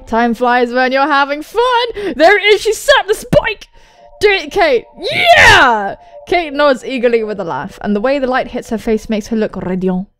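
Young woman, high lively voice, jokey let's play commentary, dramatic reading of game dialogue, swears freely, music behind. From England. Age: young adult. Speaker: gaming video host. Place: indoor room. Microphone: large microphone close to the mouth.

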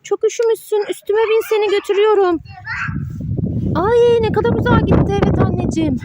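A woman's voice: very high-pitched, a little fast, heavy background noise. A woman, a mother playing toys with her child, narrating the pretend play in a singsong way with sound effects and pet names.

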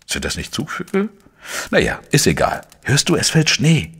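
A man playing a dogged, wry investigator in a crime radio play, speaking and narrating in a deliberate, reflective way. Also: medium pitch, huge pitch swings, fairly bright tone, slightly rough, exaggerated voice. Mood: tender, delighted. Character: dogged, wry male journalist-sleuth narrating his own case. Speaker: lead actor and first-person narrator. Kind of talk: German crime audio drama, narration and dialogue.

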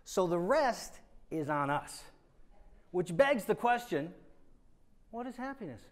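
A man in his forties from New England, giving an animated, emphatic motivational speech on a large auditorium stage. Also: high-pitched, slow. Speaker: keynote speaker.

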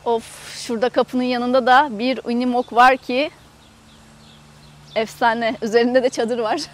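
Female travel vlogger, high voice, chatty and explanatory, casual walk-around tour delivered outdoors. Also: little pitch movement, a little slow, a little hiss or hum. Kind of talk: casual guided tour to camera. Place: outdoors in an open camper park lot.

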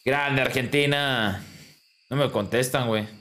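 A man's voice: medium pitch, unhurried, a bit bright. A man in his twenties with a Mexican accent, chatting casually and in slang as a game streamer. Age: 20s. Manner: slangy, informal. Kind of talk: casual livestream chat. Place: indoors in a small room at a desk.

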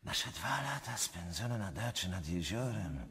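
An actor playing a passionate, jealous prince and imperial favourite in a theatrical radio drama. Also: male voice, low voice, expressive, unhurried, a bit bright, heavily acted. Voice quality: husky, gravelly. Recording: long reverberant hall sound.

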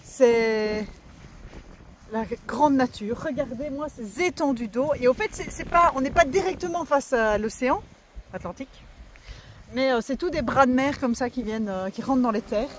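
Brief: woman in her thirties, family travel vlogger, chatty and hesitant, slightly high voice, phone held at arm's length, clear outdoor noise behind. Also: moderate pace. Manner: chatty, with frequent hesitations and restarts. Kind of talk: casual vlog narration to camera. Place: outdoors on a windy coast, later in a camper van and a restaurant. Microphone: phone camera at arm's length.

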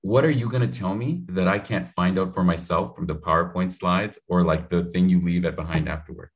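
A man in his thirties coaching on public speaking over a video call. His voice sits low and his delivery is animated and direct, aimed straight at the listener. He is recorded on a studio mic in a home room. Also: brisk, dull audio. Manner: animated, gestures a lot, talks straight to the viewer. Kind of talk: energetic advice in an interview. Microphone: studio mic in frame on a video call.

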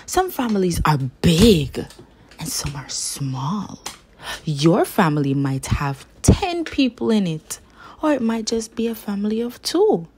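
A woman reading a children's picture book aloud as a storyteller, with gentle, deliberate, emphatic phrasing. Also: mid-range voice, sing-song, slightly rough.

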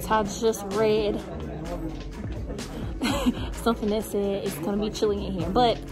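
A woman's voice: medium pitch, little pitch movement, measured pace. A young woman chatting playfully on a road trip, teasing her friend in a casual vlog filmed on a phone. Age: young adult. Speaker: travel companion. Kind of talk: casual chat. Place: car and outdoors. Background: background music.

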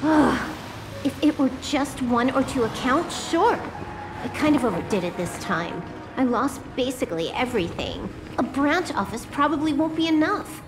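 A woman's voice: sits high, sing-song, moderate pace, music in the background, poor audio. A woman voicing a petulant, cocky young hacker in a video game, talking in a casual, flippant way. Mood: flippant, confident. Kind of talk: scripted game dialogue.

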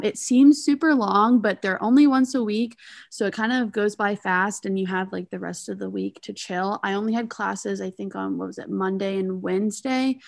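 A woman's voice: mid-range voice, no echo, clean studio sound. A college senior in her twenties chatting casually on a video call panel, with many ums and likes. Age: 20s. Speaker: student panelist.

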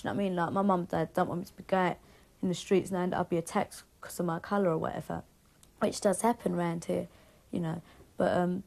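A woman's voice: lowish voice, gravelly, darkish tone. A young woman with a Cockney accent, talking casually in an interview and filling her speech with "you know" and "like.". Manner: chatty, many fillers like "you know" and "like". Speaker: band member interviewee.

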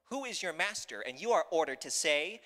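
Guy doing a sly storybook cat, high animated voice, laying on the flattery, big echoey hall. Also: brisk. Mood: urgent, conspiratorial. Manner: dramatic, persuasive patter. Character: cunning, fast-talking male cat, flattering and theatrical.

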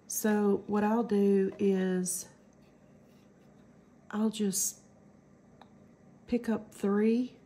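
A woman's voice: mid-range voice, steady tone, very slow, long pauses, mellow. A middle-aged woman with a stuffy cold, chatting casually through a craft demo at home and rambling with lots of ums. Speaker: crafting channel host.

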